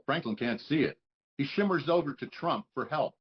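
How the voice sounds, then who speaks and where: Casting: male stage-directions reader, medium pitch, scripted narration, somewhat dull audio. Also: expressive, normal speed. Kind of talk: scripted narration for a table read.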